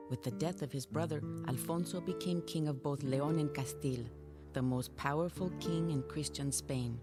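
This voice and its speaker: A medieval noblewoman narrates a game's story. Her voice is strikingly low for a woman and her telling is measured. Prominent music plays behind her.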